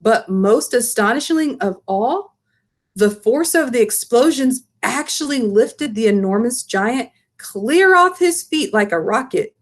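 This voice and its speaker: A woman reading a children's storybook aloud as expressive scripted narration. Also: fairly high pitch, a little slow. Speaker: storybook reader.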